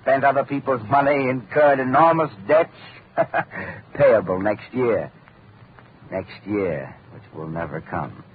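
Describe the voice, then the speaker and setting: An actor as gloating dying scientist, tinny old radio. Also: male voice, medium pitch, takes it slowly, a little hiss or hum, natural voice. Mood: gleeful bravado. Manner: theatrical, gloating. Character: gleefully vengeful dying scientist, bitter male cousin. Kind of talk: old-time radio drama acting.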